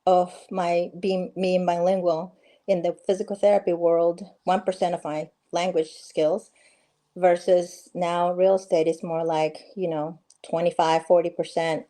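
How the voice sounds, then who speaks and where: A friendly middle-aged woman from Peru, speaking as a guest over a video call. Her voice sits low and mellow, and she often pauses on fillers and starts her sentences over. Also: flat delivery. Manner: friendly, many fillers and restarts. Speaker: guest, real estate agent. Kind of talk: casual interview conversation.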